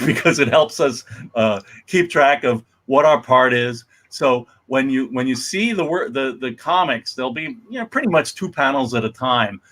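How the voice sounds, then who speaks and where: A man's voice: medium pitch, a bit bright. A man giving a casual, unscripted introduction as presenter of a comics reading, in a hesitant, rambling way.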